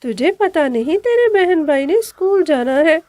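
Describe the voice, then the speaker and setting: A woman's voice: very high voice, lively intonation, unhurried, dark tone, no room sound, voice pushed up. A woman voicing a cruel, bullying stepmother bird who scolds harshly in a cartoon story. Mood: angry, scolding.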